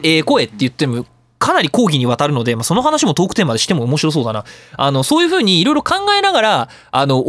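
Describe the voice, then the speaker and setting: A man hosts a casual radio talk in a very animated, swooping voice. He talks quickly and emphatically, like someone explaining his craft, and the sound is dry and close. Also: on the high side, no echo. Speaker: radio show host and sound director.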